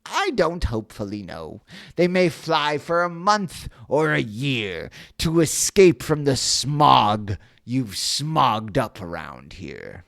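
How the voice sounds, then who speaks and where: Reader doing a high squawky grumpy little forest guy, scolding in rhyme, pitch all over. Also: very animated, slow, gravelly, a bit bright, no echo, clean studio sound, heavily acted. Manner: scolding, pleading, rhyming. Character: small cranky male forest creature.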